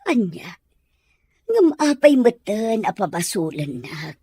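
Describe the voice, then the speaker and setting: A woman acts a caring, anxious female house helper with an Ilocano accent. The voice is husky and dryly recorded, with lively inflection. It is podcast comedy-drama. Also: medium pitch, slow, dry recording, full character voice. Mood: gently pleading.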